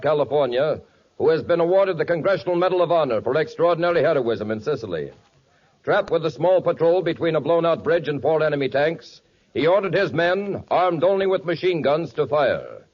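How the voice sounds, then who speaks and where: An American man reading a scripted tribute as a 1940s radio announcer. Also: a little high. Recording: dull 1940s radio recording.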